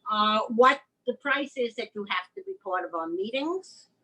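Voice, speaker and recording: A middle-aged woman hosts a video call, her voice husky. She explains at length in an eager, open way. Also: mid-range voice, lively intonation, moderate pace, bright, forceful tone, low-quality recording. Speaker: host, writing mentor. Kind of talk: casual video-call chat.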